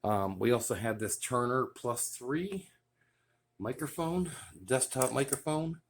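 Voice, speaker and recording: A man casually showing off his radio gear in a relaxed, enthusiastic way, with many fillers. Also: mid-range voice, slow pace, slight background noise. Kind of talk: casual show-and-tell vlog.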